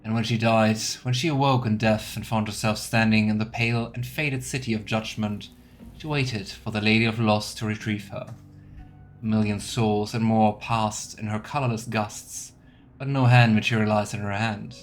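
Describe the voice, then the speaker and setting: Let's play host: low male voice, gaming commentary and read-aloud game text, faint music underneath. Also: steady tone, normal speed. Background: soft game music.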